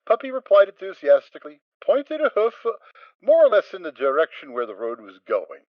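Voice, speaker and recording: A man narrating a dramatic reading of a fan-fiction story, telling it in a wry, expressive way. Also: sits high, a little fast, no room sound, dull audio.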